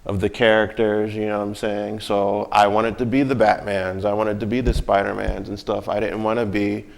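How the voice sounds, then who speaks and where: A man's voice: fairly low pitch, flat delivery, moderate pace, gravelly. A man with an American accent talking casually and reflectively, often checking that the listener agrees. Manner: conversational, often seeking agreement with tag questions.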